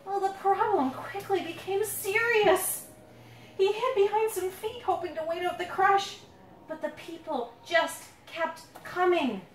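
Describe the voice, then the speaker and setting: A woman reading her own picture book aloud to a young child, playfully dramatic, drawing out words and pausing to ask questions. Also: extremely high pitch. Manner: dramatic build-ups with drawn-out words. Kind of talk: animated storytelling read aloud to a young child. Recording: roomy, noisy, distant handheld recording.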